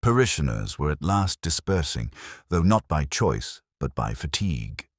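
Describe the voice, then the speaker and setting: A man reading a scripted mystery story as narrator, in a measured, formal, literary way. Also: very deep voice, big pitch swings, no echo, clean studio sound.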